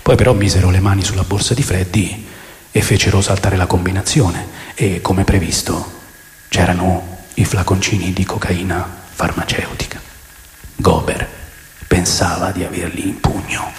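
A man narrating a scripted radio drama with a gravelly voice. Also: deep voice, level tone, normal speed, big-room sound.

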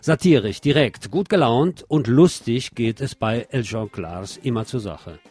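A man's voice: medium pitch, expressive, unhurried, darkish tone, husky. A man hosting a radio magazine, reading scripted commentary with wry, opinionated asides and emphatic delivery. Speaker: radio show host. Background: music under the voice.

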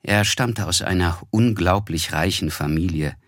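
An actor playing a reflective, polite young man who narrates a literary radio drama in a measured, descriptive way. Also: deep voice, dry recording, studio quality. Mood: observant. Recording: music under the voice.

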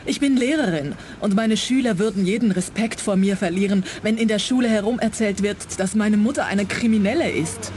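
An actress playing a worried, proper schoolteacher in a radio play, speaking in an earnest, correct way. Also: female voice, medium pitch, a little fast, few pauses, darkish tone, lightly acted. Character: worried, proper schoolteacher daughter hiring a detective. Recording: faint background ambience noise.

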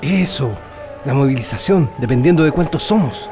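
A man's voice: mid-range voice, expressive, moderate pace, lightly acted. An actor playing an eager, wry prisoner digging an escape tunnel in a radio drama, savoring his words. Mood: urgent. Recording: long tunnel reverb, music behind.

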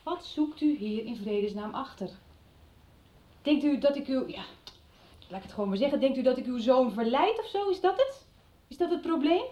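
A woman's voice: sits high, animated, moderate pace, dark tone. An actress playing an earnest, indignant schoolteacher in a radio drama, direct and insistent in her questions. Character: indignant, earnest female schoolteacher defending herself. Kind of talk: 1990s radio drama acting. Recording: slightly dull radio drama recording.

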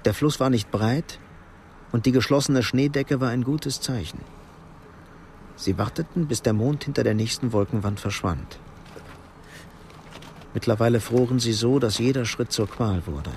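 A man narrating a radio drama from a script in a measured, sober manner. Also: medium pitch, darkish tone, slight background noise.

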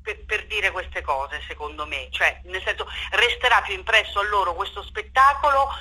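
A woman's voice: mid-range voice, normal speed, pushed and bright, poor audio. A woman speaking off the cuff in an interview, emphatic and impassioned, repeating words and seeking agreement. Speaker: theatre director being interviewed. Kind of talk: spontaneous radio interview talk.

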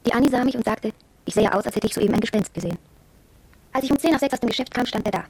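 An actress playing a proud, headstrong young shop apprentice, confiding matter-of-factly in a radio drama monologue, with a husky voice. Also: female voice, fairly high pitch, rapid-fire, darkish tone, no room sound, poor audio. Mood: intrigued, recounting.

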